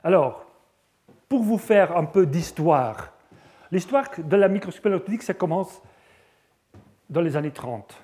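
A man's voice: high-pitched, mellow. A middle-aged man with a Flemish accent, a native Dutch speaker giving a science lecture in French, hesitant, with frequent fillers and restarts. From Belgium (Flanders).

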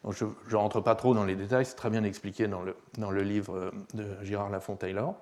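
Slightly hoarse lecturer, hesitant. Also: male voice, fairly low pitch, little pitch movement, a little fast, darkish tone. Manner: hesitant, many fillers and restarts, checks understanding. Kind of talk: university lecture.

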